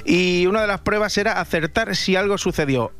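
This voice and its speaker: A man's voice: high-pitched, fairly quick, a bit bright, clean tone. A man chatting casually and jokily on radio, repeating words for emphasis. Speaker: radio show contributor. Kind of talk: casual comic radio chat. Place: radio studio.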